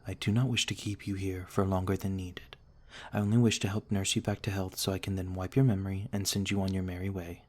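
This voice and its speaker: A man plays a noble male vampire lord in a soothing ASMR roleplay. His voice is deep and stays within a narrow, level range. He speaks with gentle, measured formality, like a calm and caring protector. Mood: kindly, reassuring.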